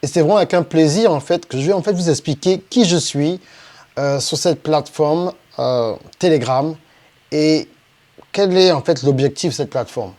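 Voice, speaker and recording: Middle-aged French man, mellow voice. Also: fairly high pitch, normal speed. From France. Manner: frequent "en fait" fillers and hesitations. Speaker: business coach. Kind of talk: unscripted presentation monologue.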